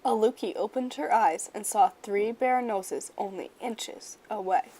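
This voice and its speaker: A woman reading a children's story aloud in an expressive, measured storyteller's manner. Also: mid-range voice, low-quality recording. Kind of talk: children's picture book read-aloud.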